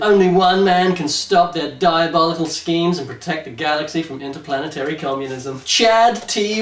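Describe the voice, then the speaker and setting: A man co-hosting a comedy show, talking with tongue-in-cheek, mock-grandiose salesmanship. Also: on the high side. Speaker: co-host presenting a comedy show. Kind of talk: joking promotional chat and mock-serious blurb reading. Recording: roomy echo, distant mic, faint noise.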